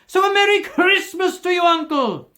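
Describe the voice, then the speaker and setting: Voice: very high-pitched, expressive, moderate pace, sharp tone, pitched above the performer's own voice, heavily acted. A performer voicing a cheerful, earnest young nephew who pleads eagerly in a storybook reading. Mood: pleading, hearty.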